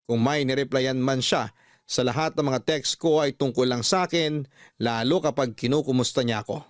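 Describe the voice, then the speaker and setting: A man's voice: mid-range voice, normal speed, dry recording, studio quality. A man reading a scripted first-person story as a radio storyteller.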